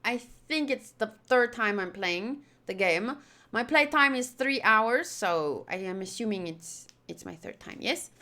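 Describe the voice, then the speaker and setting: A woman's voice: a little high, animated, a little slow, sharp tone. A woman chatting casually on a livestream as she plays and reads along with a game, in a rambling, playful way. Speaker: streamer.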